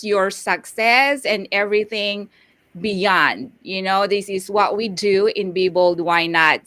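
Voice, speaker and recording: A woman's voice: mid-range voice, fairly bright tone, no echo. A woman, upbeat and enthusiastic as she hosts a live show and mixes in Tagalog phrases. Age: 50s. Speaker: host.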